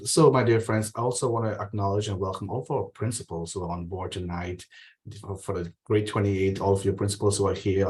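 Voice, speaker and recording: Host for an online town hall, man, lowish voice, effusive and welcoming. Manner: warm, effusive, repeats praise. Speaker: council president hosting town hall. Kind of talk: meeting moderation. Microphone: video call.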